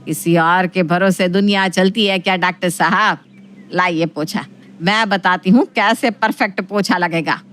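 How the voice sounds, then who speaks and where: A woman's voice: fairly low pitch, fairly even intonation, fairly bright tone. Woman playing a bossy, indignant middle-aged mother-in-law. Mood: indignant, fussy. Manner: brisk, bossy, fussy.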